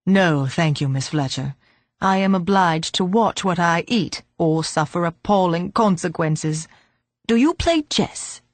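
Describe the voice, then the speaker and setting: A woman reading a storybook voices a frail, grumpy old man who is gruff but kindly and speaks in a creaky, growling way. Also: on the low side, expressive, a little slow, no echo. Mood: grumbling. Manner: grumbling, gruff.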